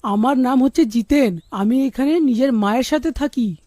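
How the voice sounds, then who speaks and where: Woman as a high, mellow young male student. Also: normal speed, dry recording. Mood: earnest, sensible. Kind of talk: children's cartoon dialogue.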